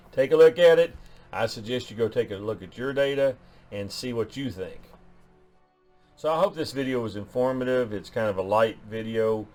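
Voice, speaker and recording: A man's voice: lowish voice, darkish tone. A man hosting a genealogy channel, talking through his DNA numbers in a loose way, often restarting phrases. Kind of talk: explaining DNA results on screen.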